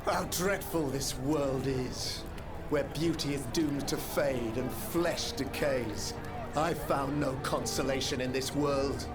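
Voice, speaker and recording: An actor playing a sorrowful, self-pitying condemned French king in a game cutscene, speaking in a grandiloquent, mournful way. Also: on the high side. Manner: grandiloquent, mournful, drawn-out delivery. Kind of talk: theatrical game cutscene monologue. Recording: loud music under the voice. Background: loud music.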